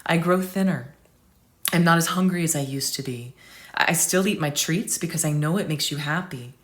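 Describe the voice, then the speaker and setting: A loving aging family dog, gentle and devoted, tells her own story, read aloud by a woman. The voice sits low and moves softly and slowly, as in a storybook. Tender throughout, never acted as a creature. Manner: soft, tender, slow. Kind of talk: storytelling reading.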